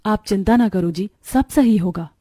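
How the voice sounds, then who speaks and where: A woman voicing a gentle, patient and worried young mother in a cartoon story. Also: mid-range voice, normal speed, slight background noise, lightly acted. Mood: soft, worried.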